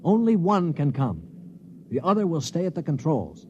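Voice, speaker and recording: A man's voice: on the high side, very animated, moderate pace. An American actor in a 1950s radio drama playing a tense, commanding man coaching a crewmate over the radio with urgent instructions. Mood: urgent, steadying. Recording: muffled, noisy 1950s radio recording.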